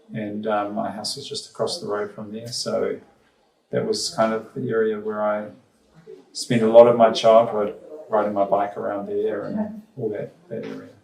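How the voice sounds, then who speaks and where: A man's voice: fairly low pitch, vocal fry, darkish tone. A middle-aged New Zealand man and school principal, answering interview questions thoughtfully with many ums and restarts. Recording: roomy sound from far off the mic.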